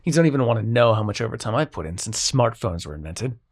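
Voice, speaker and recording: Man playing exasperated god of dreams, widely swooping pitch. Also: big pitch swings, fast, husky. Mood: irritated, sarcastic. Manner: sarcastic, profane, sighing, addresses listener as mortal. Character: exasperated, sarcastic god of dreams, a grumbling Sandman. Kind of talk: intimate scripted roleplay audio drama monologue.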